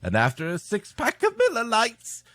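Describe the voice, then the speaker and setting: A man's voice: extremely high pitch, huge pitch swings, moderate pace, a bit bright, no room sound, pitched above the performer's own voice, full character voice. A man voicing a self-satisfied, mock-cheerful aunt in a comedy reading. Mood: breezy.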